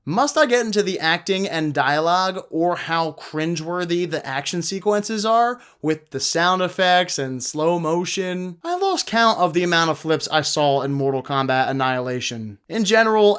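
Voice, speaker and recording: A man's voice: fairly high pitch, fairly bright tone, clear, resonant tone. A man hosting a video film review, giving scripted commentary with sardonic, emphatic asides and rhetorical questions.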